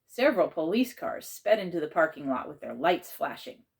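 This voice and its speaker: Low-voiced woman reading a children's story. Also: big pitch swings, normal speed, gravelly. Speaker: author and teacher reading aloud.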